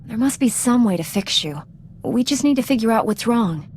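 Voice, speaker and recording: A woman as an earnest, measured Jedi knight. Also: on the high side, lively intonation, darkish tone, a little hiss or hum, natural voice. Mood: concerned. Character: earnest, principled female Jedi knight, calm leader. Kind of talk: video game character acting.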